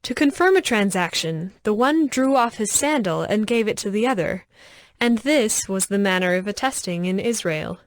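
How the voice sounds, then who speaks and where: A woman's voice: medium pitch, expressive, moderate pace, no echo. A woman giving a scripted narration of scripture as the narrator of a dramatized audio production.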